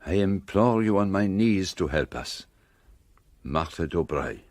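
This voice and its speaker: An actor playing a shrewd, courteous detective with a French accent in a radio drama, speaking in a measured, theatrical way. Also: male voice, sits low, lively intonation, no echo, clean studio sound. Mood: grave. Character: shrewd, composed Belgian male detective, wryly courteous.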